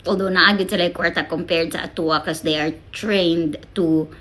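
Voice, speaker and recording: A woman's voice: on the low side, fairly even intonation, normal speed, a bit bright, rough recording. A woman from the Philippines with a Bisaya Filipino accent, chatting casually as a vlogger and giving frank, emphatic advice with rhetorical questions and little tag words. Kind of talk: casual chat to camera, giving blunt advice.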